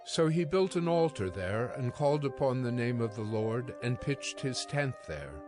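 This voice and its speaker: A man's voice: medium pitch, very animated, moderate pace, music in the background. A man narrating dramatized scripture as a scripted storytelling reading.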